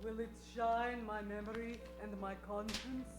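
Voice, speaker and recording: A woman's voice: fairly high pitch, level tone, normal speed, natural voice. A woman playing an elegant, worried Russian aristocrat with an Eastern European accent, grand and dramatic, in an improvised radio play. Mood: wistful, worried. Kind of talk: improvised old-time radio acting. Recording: roomy, faint music behind.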